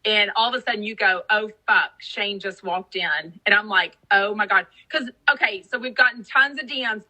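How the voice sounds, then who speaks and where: A woman's voice: mid-range voice, normal speed, pushed and bright, low-quality recording. A woman from Texas co-hosting a podcast, chatting and gossiping casually with lots of fillers. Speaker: podcast co-host. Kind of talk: casual recap chat.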